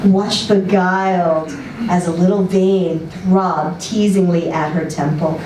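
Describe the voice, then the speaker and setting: A woman giving an expressive literary narration as a reader at a live story event. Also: lowish voice, slow. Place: social venue, live reading. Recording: roomy, distant mic, faint noise.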